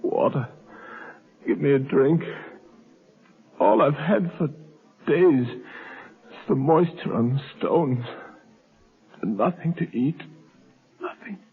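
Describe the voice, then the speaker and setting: A man's voice: on the high side, expressive, slow, pauses often, breathy edge, exaggerated voice. An actor playing a weak, parched man locked in a tomb, pleading in fright, in 1930s radio acting on a tinny old broadcast.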